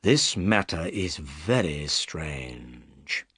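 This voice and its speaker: A man voicing a calm, shrewd male detective who speaks in a measured, firm way. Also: a bass voice, husky, no echo, clean studio sound, voice pushed down, heavily acted.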